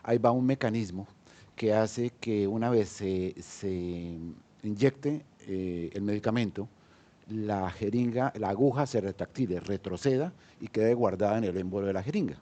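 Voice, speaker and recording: A man's voice: on the low side, normal speed, warm tone. A man with a Colombian accent, an entrepreneur speaking on a panel, hesitant with many fillers and restarts. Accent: Colombian Spanish. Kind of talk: panel discussion.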